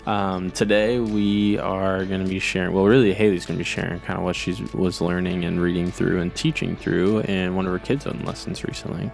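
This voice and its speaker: A man co-hosts a casual podcast and speaks in an easygoing way with a few hesitations. His voice sits very low and gravelly. Faint music plays behind him. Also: fairly even intonation, fairly quick, hardly any pauses. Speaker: podcast co-host.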